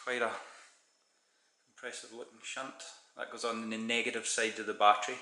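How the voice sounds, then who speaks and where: A man's voice: on the low side, flat delivery, normal speed, sharp tone. A Scottish man chatting casually as he unboxes parts on his kitchen counter, thinking aloud and correcting himself. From Scotland. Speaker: DIY hobbyist doing an unboxing. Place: home kitchen, indoors. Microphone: camera mic, speaker off-screen filming his hands. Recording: echoey kitchen with background noise.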